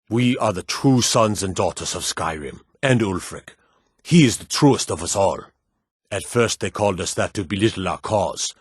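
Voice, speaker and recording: An actor playing a gruff, proud Nordic warrior and guard in a fantasy video game, speaking in a firm, emphatic, declamatory way. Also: male voice, lowish voice, no echo, studio quality. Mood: fervent, proud. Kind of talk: dramatic video game dialogue.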